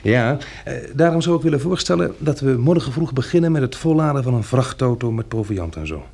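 An actor playing a practical, resolute man planning survival after a catastrophe in a Dutch radio drama, hesitating as he thinks aloud, with a husky, gravelly voice. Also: medium pitch, animated, fairly quick, a little mellow. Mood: businesslike.

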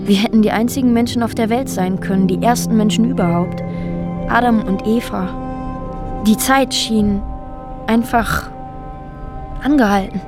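An actress playing a woman who recounts a strange, disturbing ordeal in a reflective, halting, matter-of-fact radio drama monologue. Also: fairly high pitch, close to an everyday voice. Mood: absorbed, subdued. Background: music under the voice.

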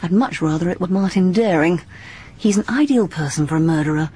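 Casting: a determined young sleuth, breathy, crisp and insistent, old radio play. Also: female voice, fairly low pitch, lively intonation, fairly quick, dark tone. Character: brisk, determined young amateur sleuth woman.

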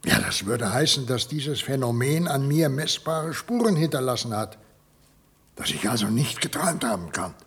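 A man acting a guarded, earnest scientist in his fifties, shaken and halting, in a radio play with a very husky, breathy voice. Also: mid-range voice, lively intonation, fairly bright tone, full character voice. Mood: earnest, urgent. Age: 50s. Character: 51-year-old male scientist, shaken patient, guarded, earnest. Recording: vintage radio play recording.

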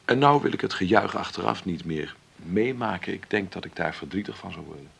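An actor playing a wry, stubborn middle-aged film maker who talks haltingly and conversationally in a radio play interview. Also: male voice, low voice, lively intonation, vocal fry, husky, heavily acted. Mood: stubborn, defiant. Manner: conversational, halting, adds tag questions. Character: celebrated 53-year-old film maker, wry, stubborn, self-made. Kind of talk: radio drama played as an interview.

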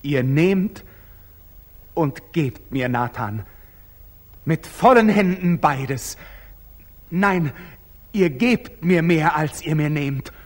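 Husky, intense young knight. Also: male voice, a little high, exaggerated voice. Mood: overwhelmed gratitude. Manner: intense, abrupt, declamatory. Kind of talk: 1940s German radio stage acting. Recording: old 1940s radio drama recording.

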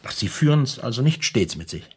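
An actor playing a pompous, obliging private detective in a radio play, stiffly formal and officious, with repetitions and hesitant pauses. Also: male voice, medium pitch, very animated, slightly rough. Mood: tactful, officious. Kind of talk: German radio play acting.

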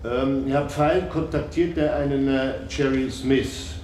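Man from munich, short factual answers, mid-pitched voice, far from the mic, echoey room, clear background hiss. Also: fairly even intonation, takes it slowly, a little mellow. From Munich, Germany. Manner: short, matter-of-fact answers. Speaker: interviewee, informant. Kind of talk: formal questioning, factual answers. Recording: distant, echoey, noisy recording.

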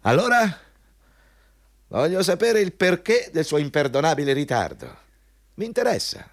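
Self-important male boss, overbearing, hectoring, highly animated intonation, radio drama. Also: moderate pace. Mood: pompous, needling. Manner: pompous, hectoring, switching between scolding and ingratiating. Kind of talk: Italian radio drama acting.

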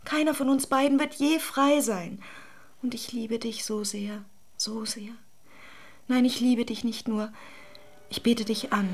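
High-voiced, adoring, despairing lover. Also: female voice, fairly even intonation, a little slow, pauses often. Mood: anguished, adoring. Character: adoring, despairing woman in a secret love affair. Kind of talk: intimate emotional radio drama acting.

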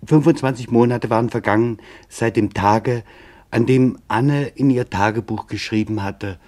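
A man narrating a documentary radio drama in a sober, deliberate, measured manner. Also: medium pitch, a little mellow.

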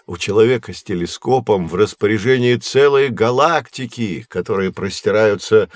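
An actor playing a kindly, patient professor who explains science to children in an educational audio play. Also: male voice, on the low side, normal speed, no room sound, clean studio sound, natural voice. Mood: explanatory. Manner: didactic, carefully phrased, encouraging.

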